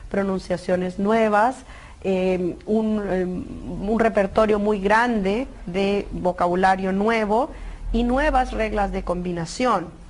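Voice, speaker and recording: A woman expert giving a calm explanation, with occasional "em" pauses. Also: mid-range voice, slight background noise. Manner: calm, with "em" fillers. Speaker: linguistics expert.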